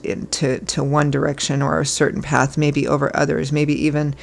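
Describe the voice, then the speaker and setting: A hoarse woman giving a casual tarot reading as host, chatty and reassuring, her voice still froggy from illness. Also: low voice, little pitch movement, moderate pace, a little hiss or hum. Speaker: tarot reader.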